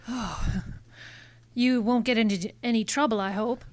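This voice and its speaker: Woman acting a scheming noir temptress, raised pitch, girlish, fretful, hesitant, radio drama. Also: fairly even intonation, unhurried, close to an everyday voice. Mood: anxious, fretful. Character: coy, fretful, scheming femme fatale.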